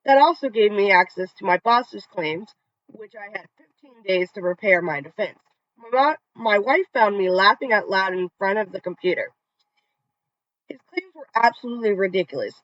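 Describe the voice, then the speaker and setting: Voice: medium pitch, lively intonation, normal speed, fairly bright tone, no echo. A narrator reading online revenge stories aloud with casual asides, now and then tripping over a word and starting it again. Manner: conversational reading, trips over words and restarts. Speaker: story channel narrator. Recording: dull, slightly lo-fi dry recording.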